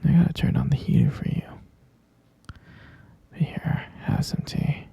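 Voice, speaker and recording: A man playing an obsessive, possessive boyfriend in an intimate roleplay audio, coaxing his captive lover in a husky, breathy half-whisper. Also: dark tone, no echo, muffled recording, close to an everyday voice. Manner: slow, coaxing, repetitive, pleading endearments.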